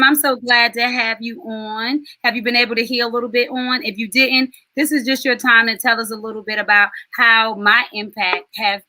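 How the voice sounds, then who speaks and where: Bright-voiced woman hosting a live stream. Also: on the high side, no echo, low-quality recording. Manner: chatty, affectionate, quick asides. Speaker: host and soul mentor.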